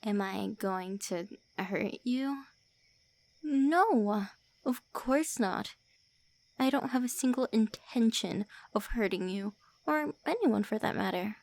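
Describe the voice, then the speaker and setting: A woman voicing a lonely, prickly female vampire who is centuries old, in an ASMR roleplay, often speaking softly or in whispers. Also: mid-range voice, expressive, slow, creaky. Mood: bitter. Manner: tsundere, sharp then wistful.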